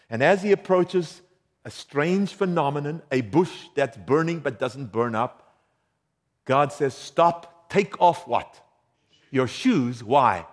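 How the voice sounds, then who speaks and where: South african guy preaching, kinda high voice, keeps asking the crowd stuff and chuckling. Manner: engaging, quizzes the audience with questions; chuckles often between points. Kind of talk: sermon to a congregation.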